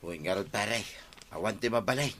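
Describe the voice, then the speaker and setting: A male actor playing a gossipy village man in an Ilocano radio comedy-drama. His voice is bright and punchy, with an Ilocano accent and a familiar, neighborly manner. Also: fairly low pitch, fairly quick, slight background noise, close to an everyday voice. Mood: consoling.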